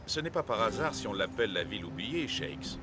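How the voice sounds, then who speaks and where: A man's voice: fairly low pitch, sing-song, a little fast, noisy background. A man playing a huge, proud, imposing goalkeeper in a cartoon, self-assured and ominous. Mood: warning. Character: giant, proud, imposing male Aztec goalkeeper. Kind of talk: dubbed cartoon dialogue. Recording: music behind the voice.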